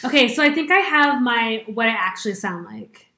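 A woman co-hosting a casual podcast, giggly and animated, with a slight lisp on her S sounds. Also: a little high, moderate pace, roomy sound. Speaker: podcast co-host. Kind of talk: casual chat.

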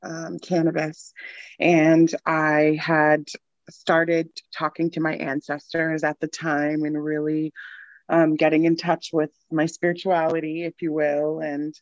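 A woman from the Boston area, chatting as a podcast guest, laughing often and filling pauses with ums. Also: low-pitched, completely flat delivery, dry recording, muffled recording. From Boston area, Massachusetts. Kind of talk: casual interview chat.

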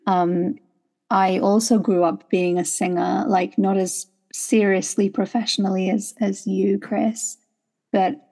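Woman podcast host, thoughtful and affirming. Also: mid-range voice. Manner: warm affirming listener, thoughtful, "like" fillers. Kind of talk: casual podcast conversation.

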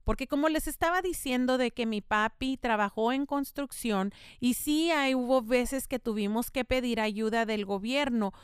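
A woman's voice: a little high, moderate pace, few pauses, clean tone, no room sound, studio quality. Earnest middle-aged woman. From United States. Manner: earnest, explanatory, faith-tinged, with spoken fillers. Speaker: podcast host. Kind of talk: earnest informative monologue.

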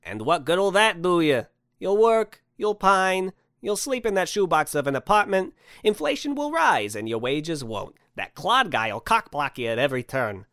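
A man's voice: high voice, very animated, moderate pace, a bit bright, dry recording, clean studio sound, pitched above the performer's own voice, exaggerated voice. A man voicing a jolly, eccentric Santa for a story, folksy, brash and cajoling. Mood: badgering. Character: jolly eccentric Santa, brash, pushy, tube-obsessed wish granter.

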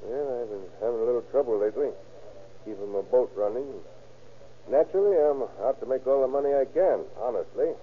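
An American actor playing a straightforward, wary but kindly boat captain in an old radio crime drama. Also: male voice, mid-range voice, fairly quick, natural voice. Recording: tinny, noisy old radio recording.

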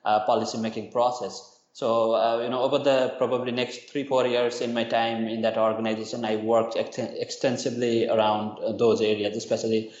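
A man's voice: medium pitch, flat delivery, a little fast, a little mellow. Young guy from nepal, lots of ums and restarts, muffled echoey sound. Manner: hesitant, many fillers and restarts. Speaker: university lecturer and interview guest. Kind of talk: podcast interview answers. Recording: dull, roomy remote audio.

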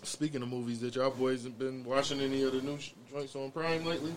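A man's voice: medium pitch, flat delivery, fairly quick, a little hiss or hum, rough recording. An American man in a casual group chat, speaking bluntly and opinionatedly with slang and heavy swearing. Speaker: podcast co-host.

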